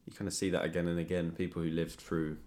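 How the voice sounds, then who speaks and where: Bloke hosting a podcast, soft dark mellow voice, bit low, kind of hesitant. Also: male voice, flat delivery, fast talker. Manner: hesitant, tentative phrasing. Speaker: podcast host. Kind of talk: casual interview.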